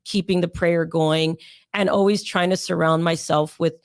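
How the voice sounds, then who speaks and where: A young adult woman, hoarse and raspy from sinus pressure, chatting as an interview guest in a rambling way with many fillers. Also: lowish voice, steady tone, moderate pace, no room sound, studio quality. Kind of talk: casual interview storytelling.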